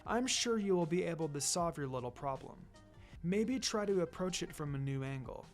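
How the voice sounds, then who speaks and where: Gentle androgynous teen boy, read by a man, soft-spoken, polite, measured storybook delivery over music. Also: a little high, animated, lightly acted. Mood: warm, polite. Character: gentle, pretty teenage boy, mistaken for a girl.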